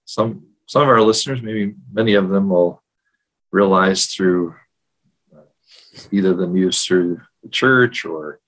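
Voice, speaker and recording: Older-ish guy on a podcast, low husky voice, reflective, takes his time telling things. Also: poor audio. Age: middle-aged or older. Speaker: podcast co-host. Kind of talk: casual conversational podcast talk, personal storytelling. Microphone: video call.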